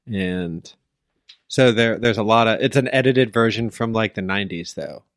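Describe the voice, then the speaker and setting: A man's voice: lowish voice, moderate pace, dry recording. Man with dry, wry teasing. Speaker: podcast co-host. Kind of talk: casual chat.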